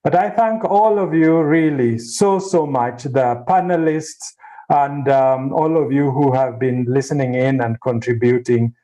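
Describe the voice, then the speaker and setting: A man giving spontaneous academic remarks in a discussion, reflective and halting, with hesitant pauses. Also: mid-range voice, warm tone, rough recording. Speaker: symposium speaker, book editor. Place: online video symposium.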